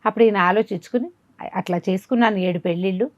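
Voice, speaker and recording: A woman's voice: mid-range voice, fast talker, dry recording. A woman telling a folk tale informally, conversationally asking rhetorical questions as she narrates. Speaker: storyteller.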